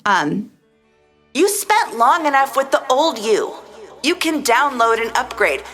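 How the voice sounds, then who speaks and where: A woman's voice: high-pitched, big pitch swings, unhurried, fairly bright tone, faint music behind. A woman co-hosting a podcast, speaking in a theatrical, emphatic and sardonic way. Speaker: podcast co-host. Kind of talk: mock-dramatic reading of tweets, mixed with casual chat.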